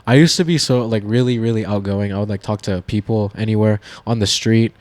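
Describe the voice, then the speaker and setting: A man's voice: lowish voice, fairly quick, darkish tone, no room sound. Young guy cohost, casual, keeps repeating words. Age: young adult. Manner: reactive, repeats himself. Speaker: podcast co-host. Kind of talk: casual chat.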